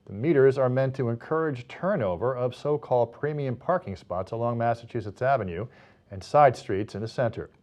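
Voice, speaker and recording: A man's voice: medium pitch, brisk, darkish tone. An American man presenting scripted local news as the anchor.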